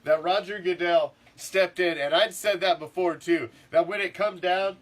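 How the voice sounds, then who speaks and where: A middle-aged man, congested and rough-throated from the flu, ranting casually as a sports podcast host, swearing and repeating himself. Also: high voice, fairly even intonation, normal speed. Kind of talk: profane casual sports rant.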